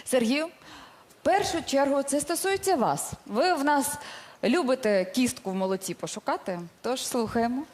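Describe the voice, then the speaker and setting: Higher-voiced woman hosting live television. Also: expressive, unhurried, darkish tone. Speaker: TV show host. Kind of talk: live talent show presenting. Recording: live studio with audience, faint noise.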